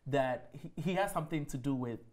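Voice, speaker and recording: A woman's voice: a bass voice, lively intonation, fairly quick, darkish tone. A woman co-hosting a casual panel chat who asks probing questions and laughs often. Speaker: co-host.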